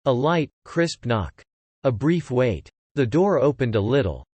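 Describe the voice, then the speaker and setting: A man narrating fiction from a script as an audiobook narrator, in a measured, descriptive way. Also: medium pitch, very animated, a little mellow, slightly rough, no room sound.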